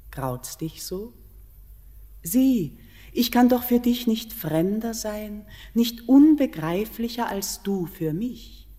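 An actress playing an ancient queen of the mountain, speaking calmly and gently in a classic radio play. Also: mid-range voice. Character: ancient underground queen of the mountain, calm and longing. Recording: long hall reverb.

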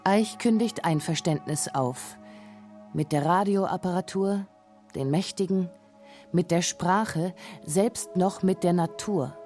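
Low, mellow female documentary narrator. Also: slow, faint music behind. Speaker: narrator of radio feature.

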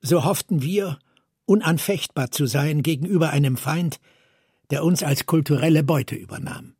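A man reads literary prose aloud. His voice is on the high side for a man and very husky, and the sound is dry with little room in it. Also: normal speed, darkish tone, no echo. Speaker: co-reader. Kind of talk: literary reading of prose and quotations.

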